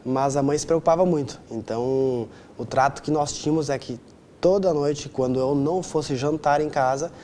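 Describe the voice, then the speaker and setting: A man's voice: on the high side, normal speed, dark tone, a little hiss or hum. A man in his twenties from southern Brazil with a southern Brazilian accent, answering conversationally as an interview guest and often adding tag questions for agreement. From Rio Grande do Sul, southern Brazil. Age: 20s.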